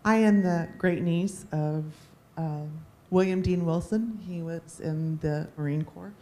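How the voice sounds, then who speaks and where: A woman's voice: on the low side, measured pace, warm tone, slight background noise. A woman, great niece of a missing Marine, giving plain factual remarks at a family meeting. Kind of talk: open-mic remarks.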